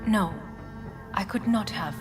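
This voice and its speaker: An actress playing a composed, dutiful corporate heiress in a video game, guarded and formal, with precise, restrained phrasing. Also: female voice, mid-range voice, takes it slowly, lightly acted. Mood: solemn. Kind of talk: dramatic video game dialogue. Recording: music behind the voice.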